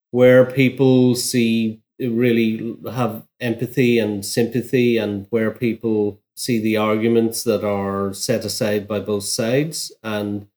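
A man working as a journalist, giving measured, analytical commentary in an unscripted interview. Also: on the low side, darkish tone. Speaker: journalist, newspaper bureau chief.

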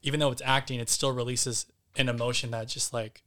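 A man's voice: mid-range voice, flat delivery, a little fast, sharp tone. A man, an actor and podcast guest, talking casually with many fillers and restarts.

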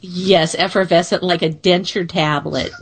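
A woman's voice: on the low side, fairly even intonation, unhurried. A woman co-hosting a radio show with a wry, joking manner. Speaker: radio co-host. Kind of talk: casual radio chat.

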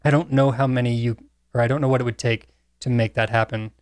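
Man, medium pitch, dry recording, thoughtful casual podcast talk, measured explanations. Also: steady tone, a little mellow, no room sound. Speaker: podcast panelist. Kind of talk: casual film and game discussion.

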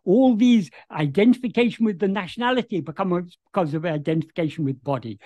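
A man's voice: sits high, darkish tone, dry recording. A man answering questions as a spiritual teacher over a video call, explaining carefully with frequent restarts and repeated words. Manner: halting restarts, repeats words while searching. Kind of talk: thoughtful explanatory talk.

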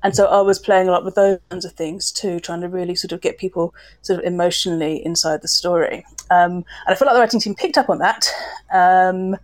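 A woman speaks in a slightly low-pitched voice as part of a panel discussion. She is a composer and sound designer explaining her craft. Her manner is reflective and hesitant, often searching for the right phrase. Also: fairly quick.

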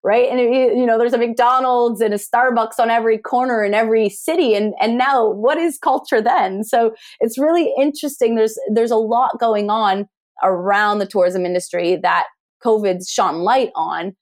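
A woman's voice: fairly high pitch, moderate pace. American woman academic being interviewed, reflective, questioning. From United States. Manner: thoughtful, asks rhetorical questions, frequent restarts. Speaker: tourism academic guest. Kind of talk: conversational interview.

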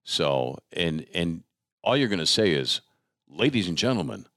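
A middle-aged man hosting a casual chat, expressive and chatty, dropping into mock announcements and asides. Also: deep voice, unhurried, dry recording, clean studio sound. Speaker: host. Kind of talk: casual chat, conversational interviewing.

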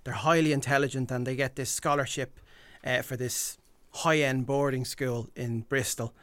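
A man's voice: medium pitch, steady tone, normal speed, fairly bright tone, no echo. An Irish man talking casually as a guest in a radio interview. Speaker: guest, impressionist and event MC.

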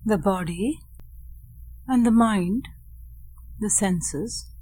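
Slow-paced woman guiding a meditation. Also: medium pitch, mellow. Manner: measured, deliberate, with short phrases and long pauses. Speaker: meditation guide. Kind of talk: guided meditation with Sanskrit chanting and teaching.

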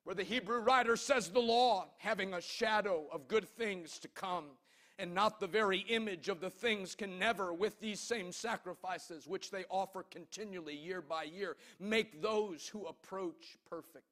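A male pastor delivers an impassioned sermon in a high, forceful voice. His delivery is declamatory and emphatic, with key phrases drawn out for weight. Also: unhurried.

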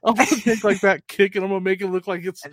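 A man's voice: sits high, steady tone, quick pace, fairly bright tone, no room sound, studio quality. A man co-hosting a casual live stream, flustered and self-mocking while he jokes. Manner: self-deprecating, flustered, joking. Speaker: live show co-host.

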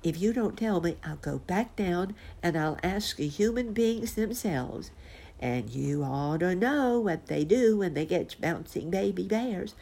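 A woman voicing an excitable, determined young male bear cub in a children's story, eager and pleading. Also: on the low side, a little slow, gravelly, pitched above the performer's own voice, exaggerated voice.

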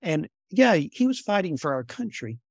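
Soft-spoken older man, gravelly. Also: high-pitched, very animated, no room sound, clean studio sound. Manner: unhurried, repeats words when restarting. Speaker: guest, former intelligence officer. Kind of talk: conversational storytelling.

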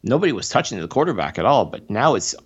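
A man's voice: medium pitch, big pitch swings, fast talker, breathy edge, dull audio. A man from Montreal co-hosting a casual sports podcast, talking at length with opinionated, analytical takes on football. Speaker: podcast co-host.

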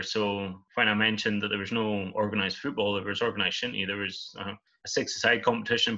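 Scottish guy, lowish voice with a bright edge, laid back and rambly, sounds a bit muffled like a call. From Scotland. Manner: relaxed, rambling, easygoing. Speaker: guest. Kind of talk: casual interview chat.

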